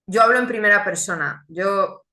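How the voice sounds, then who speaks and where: Woman, thirties, earnest testimony, bright clear voice, echoey room. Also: mid-range voice. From Chile, raised in Spain. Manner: earnest and reflective, with deliberate pauses. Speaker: speaker giving a testimony. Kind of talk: religious testimony talk.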